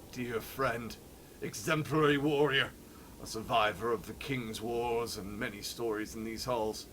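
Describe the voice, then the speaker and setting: A man's voice: on the low side, noisy background, pitched below the performer's own voice. A man voicing a weary, vengeful lone warrior in a solemn, dramatic read-along of a game journal. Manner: solemn, dramatic, slow declaiming.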